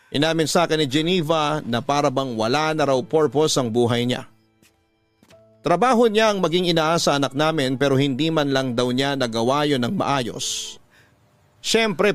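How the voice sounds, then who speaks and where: Radio drama narrator: bereaved husband voiced by a man, slightly high, solemn, heartfelt, close dry studio sound. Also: no echo, lightly acted. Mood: sorrowful. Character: bereaved husband recounting his letter, sorrowful, devoted. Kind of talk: dramatic first-person letter narration.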